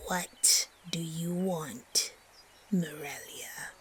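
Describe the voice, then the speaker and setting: A woman playing a haughty, suspicious, disdainful spider monster in a fantasy audio roleplay, with a breathy, often whispery voice. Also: low voice, a bit bright, a little hiss or hum, exaggerated voice. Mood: cold, suspicious. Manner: slow, disdainful, haggling.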